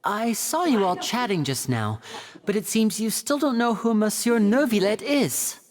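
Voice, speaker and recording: A man's voice: sits high, sing-song, normal speed, big-room sound, slight background noise. A voice actor playing a showy, gracious stage magician greeting his audience in a game scene. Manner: theatrical, gracious.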